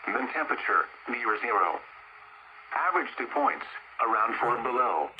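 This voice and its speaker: A man's voice: mid-range voice, animated, normal speed, lo-fi sound. A synthetic man's voice reading a scripted weather forecast as a radio broadcast announcer. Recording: noisy radio speaker picked up in room.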